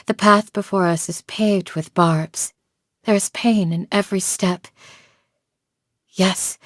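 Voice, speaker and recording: A woman playing a calm, devoted village guardian in a video game, speaking in a measured, formal, gently solemn way. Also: mid-range voice, no echo, clean studio sound, natural voice. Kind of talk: scripted game character voice lines.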